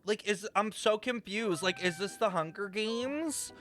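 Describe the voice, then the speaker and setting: A woman's voice: mid-range voice, measured pace, a bit bright. Woman, game streamer, casual reactions, rambling, exclamatory, swears freely, music faintly behind. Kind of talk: casual live gameplay reaction commentary.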